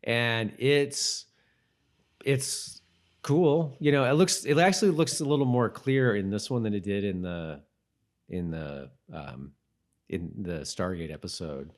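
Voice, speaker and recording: A man with a fairly low voice co-hosts a rewatch podcast. He talks in a casual, informal way, often halting as he thinks out loud. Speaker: podcast co-host. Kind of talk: casual chat about a TV rewatch.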